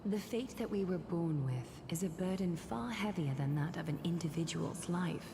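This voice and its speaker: A woman's voice: fairly low pitch, lively intonation, normal speed, background noise. A woman playing a regal, calculating noblewoman in a video game, speaking in a stately, coolly composed, formal manner. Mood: composed, commanding. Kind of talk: scripted English video game voice acting. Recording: echoing voice under game music. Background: game music.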